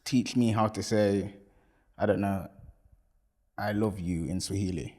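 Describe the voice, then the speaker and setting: A man's voice: lowish voice, steady tone, measured pace, mellow. A man hosting a casual interview, laid-back, playful and upbeat. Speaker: interviewer host.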